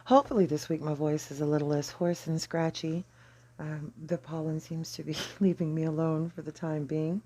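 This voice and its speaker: An astrology and tarot host with a husky, slightly gravelly voice, giving an explanatory reading in a measured, deliberate way with pauses. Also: medium pitch, flat delivery, a little mellow.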